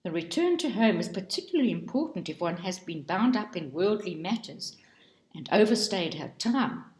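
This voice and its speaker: A woman reading a book aloud in a slow, deliberate and expressive way. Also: lowish voice. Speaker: reader. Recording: roomy echo.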